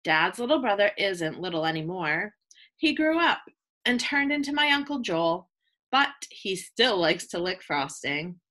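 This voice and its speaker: A woman with a bright, forward voice reads a picture book aloud for young children. She speaks as a teacher would, with expressive phrasing at a medium pitch. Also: measured pace. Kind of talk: children's read-aloud, scripted narration.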